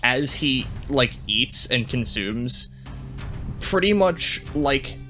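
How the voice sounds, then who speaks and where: A man of medium pitch narrates slowly as a player in a tabletop game. He builds his descriptions in halting phrases, with faint music behind him. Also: animated, sharp tone.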